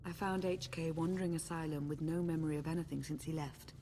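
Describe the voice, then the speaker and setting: An actress playing a calm, wary female agent who speaks in a measured way. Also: low-pitched, a little hiss or hum.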